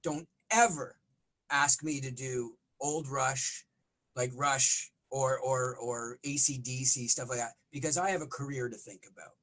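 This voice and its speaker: A middle-aged man, a rock vocalist, talking in a casual interview with a smoky, raspy voice and lots of restarts. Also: mid-range voice, fairly even intonation, unhurried, fairly bright tone, low-quality recording. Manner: rambling, frequent restarts and fillers.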